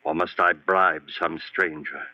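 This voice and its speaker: An actor playing an obsessed, confident surgeon with an insistent, impassioned manner in an old-time radio horror drama. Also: male voice, low voice, fairly even intonation, unhurried, sharp tone, heavily acted. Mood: urgent pleading. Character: obsessed male surgeon-scientist, confident, fervent, desperate husband. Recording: dull, band-limited old radio recording.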